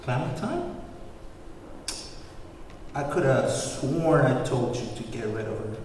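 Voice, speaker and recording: A man's voice: mid-range voice, big pitch swings, takes it slowly, recorded from a distance. A man playing a flamboyant, coolly menacing cult leader in his thirties at a screenplay table read, recorded in an echoey, noisy room. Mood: probing, stern.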